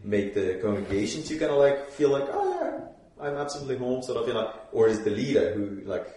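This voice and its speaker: A man with a deep voice from a worship team, asking a question haltingly and searching for his words. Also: big pitch swings, warm tone. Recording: distant, very echoey room.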